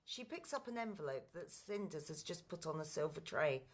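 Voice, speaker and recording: A woman's voice: deep voice, animated, normal speed. A woman reading scripted stage directions as the narrator of a radio play.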